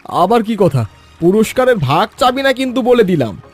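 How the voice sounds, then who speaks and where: High-pitched greedy, pushy village schemer. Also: male voice, sing-song, normal speed, faint music behind. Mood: defiant, impatient. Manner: pushy, boastful, impatient. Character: greedy, lazy, deceitful young village man. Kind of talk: animated Bengali children's story acting.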